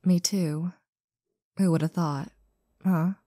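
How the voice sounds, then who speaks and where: A vain diva of the theatre, acted by a woman for an audio drama. Her voice sits fairly low and is recorded dry, with a haughty, theatrical delivery that suggests she is always scheming. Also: steady tone, slow, warm tone, no room sound. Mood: smug. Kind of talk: audio roleplay drama acting.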